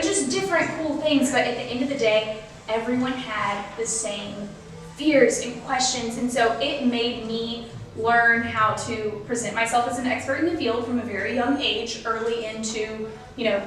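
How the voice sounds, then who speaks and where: Young woman from Texas, upbeat and talkative, slightly high voice, echoey room away from the mic. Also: fairly even intonation, moderate pace, fairly bright tone, far from the mic. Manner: upbeat, talkative, laughs often. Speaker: real estate agent, guest. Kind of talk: casual interview chat.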